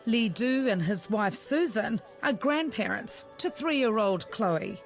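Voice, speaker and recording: Lady news reporter voice-over, kinda high voice, clear music bed behind. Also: lively intonation, takes it slowly. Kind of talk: scripted news narration.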